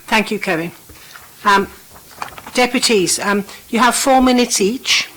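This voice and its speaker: A woman chairing a council meeting, setting out speaking times in a brisk, procedural way. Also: fairly high pitch, fairly bright tone, a little hiss or hum, poor audio.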